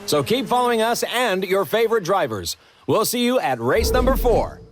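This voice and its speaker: Male race broadcast announcer for a game: showy hype, high and very animated. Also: normal speed, music in the background. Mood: hyped. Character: excitable male TV announcer of a racing series. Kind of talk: hyped sports broadcast commentary.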